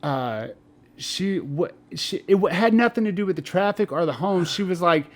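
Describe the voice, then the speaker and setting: A man's voice: high-pitched, lively intonation, normal speed. A young man co-hosting a podcast in casual banter, telling rambling stories full of swearing and filler words. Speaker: podcast co-host.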